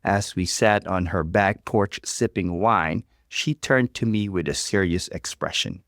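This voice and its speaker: A man reading a story aloud as scripted, expressive narration. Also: low voice, a little slow, dry recording, studio quality.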